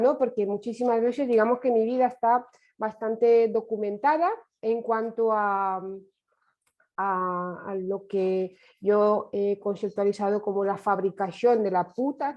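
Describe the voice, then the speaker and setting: A woman whose first language is Romanian gives an online lecture in a reflective manner, pausing and restarting often. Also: a little high, muffled recording. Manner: reflective, with many hesitations and restarts. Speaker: course speaker. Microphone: video call.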